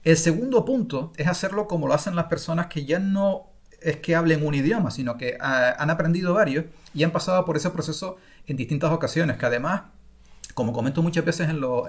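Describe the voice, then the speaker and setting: A middle-aged man from Spain gives an unscripted online masterclass on learning languages, often pausing with fillers and restarting his sentences. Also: a little high, lively intonation. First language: Spanish. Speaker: language-learning course creator.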